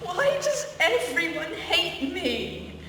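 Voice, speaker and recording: A radio play heard from far off in a boomy hall. A young woman acts as an eager, dramatic know-it-all schoolgirl with a high voice and very earnest delivery. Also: sing-song, slow pace, full character voice. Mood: exasperated. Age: young adult. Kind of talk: comedic radio play acting. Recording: very echoey hall, distant mic, faint noise.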